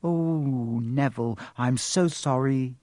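A man's voice: high voice, very animated, unhurried, darkish tone, no echo, studio quality, pitched above the performer's own voice. A man voicing an earnest, anxious young schoolgirl in an audiobook, emphatic and pleading. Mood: miserable apology.

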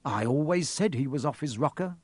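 A man's voice: mid-range voice, expressive, brisk, slightly rough, dry recording. A man voicing a blunt, curious schoolboy friend in a storybook, casual in his way of talking. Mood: impressed, blunt.

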